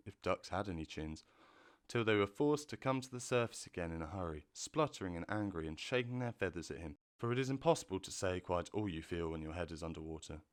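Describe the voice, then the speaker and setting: A man narrating a storybook in a measured, gentle way. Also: fairly low pitch, smooth.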